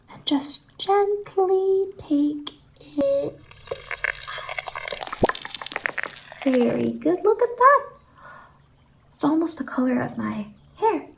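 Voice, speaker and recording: A woman playing a quirky, doting fantasy salon worker in an ASMR roleplay, speaking softly and slipping in and out of whispers. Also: very high-pitched, lively intonation, slow pace, creaky, heavily acted, low-quality recording. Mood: soothing, doting, then playful, reassuring. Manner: soft-spoken, drifting in and out of whispers. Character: Mazey, quirky doting female fever-dream salon worker.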